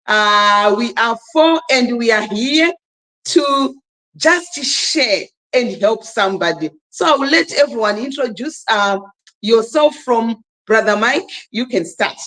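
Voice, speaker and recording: A woman's voice: a little high, expressive, slow, fairly bright tone, rough recording. A woman from Zimbabwe hosting a live stream panel, speaking emphatically and urging the listeners on. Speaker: livestream host. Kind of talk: casual live stream talk.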